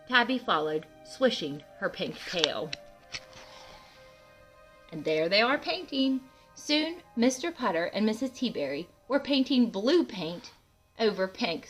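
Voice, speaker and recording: A woman reads a children's picture book aloud at an unhurried pace. Her voice is bright and clear in intent, with animated delivery and chatty asides to young listeners. Music plays behind her. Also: on the high side, rough recording. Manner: animated, asks listeners questions. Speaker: read-aloud host for children.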